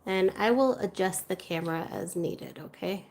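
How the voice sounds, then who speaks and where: A woman's voice: mid-range voice, normal speed, darkish tone. A woman hosting a casual art livestream, chatting with viewers as she works, her voice a little congested. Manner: chatty, talks to viewers while working. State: slightly congested. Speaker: art channel host. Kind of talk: casual livestream chat while crafting. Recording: roomy with faint room noise.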